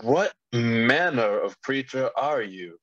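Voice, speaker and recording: A man playing a wary, calculating wizard with stern, formal phrasing in an animated fantasy table read. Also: mid-range voice, slow pace, no echo, highs cut off. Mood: suspicious.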